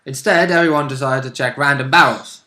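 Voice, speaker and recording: A man's voice: medium pitch, a little fast, pushed and bright. A man chatting casually as a player in a tabletop game, adding brief agreements and short asides. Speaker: player and co-commentator. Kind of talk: casual chat.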